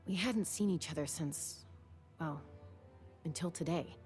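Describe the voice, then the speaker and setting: An actress playing a composed, wistful young woman in a video game, speaking in a measured, reflective way. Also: low voice, darkish tone, soft background music. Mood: regretful, resolute. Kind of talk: video game dialogue acting.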